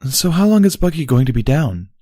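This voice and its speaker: A man's voice: on the high side, very animated, fairly quick, mellow, no room sound, clean studio sound, voice pushed up. A man voicing a defiant young female pony in an audio story, casual and plainspoken. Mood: worried.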